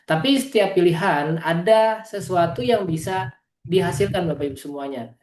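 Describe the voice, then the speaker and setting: A man's voice: high-pitched, moderate pace, a little mellow, roomy sound, a little hiss or hum. A man from East Java giving a motivational business presentation on a video call, earnest and constantly addressing his audience directly. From East Java, Indonesia. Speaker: business presenter at an online meeting. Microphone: video call microphone.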